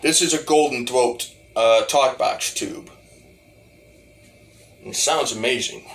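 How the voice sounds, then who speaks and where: A man giving a casual, rambling demo of music gear, halting and repeating his phrases as he goes. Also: medium pitch, fairly bright tone. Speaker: hobbyist musician reviewing talk box gear.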